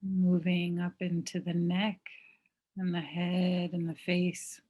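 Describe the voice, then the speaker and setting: A woman teaching mindfulness, leading a guided meditation in a gentle, unhurried manner with long pauses. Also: lowish voice, monotone, rough recording.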